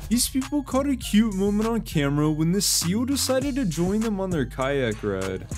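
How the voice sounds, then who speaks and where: The high, lively male narrator you would hear over music in an online compilation of short viral clips. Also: moderate pace. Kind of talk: scripted reaction narration.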